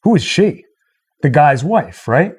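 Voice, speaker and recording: Animated, higher-pitched man hosting. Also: measured pace, slightly rough. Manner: playful, joking. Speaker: livestream host. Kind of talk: casual chat and story recapping.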